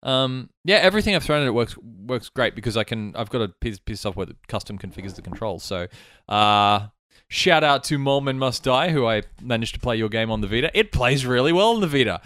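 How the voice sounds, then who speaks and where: Man, nasal from a cold, teasing host, very lively pitch, dry sound. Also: a little fast, no room sound. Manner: chatty, jokey, teasing. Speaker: podcast host. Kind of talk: casual chat.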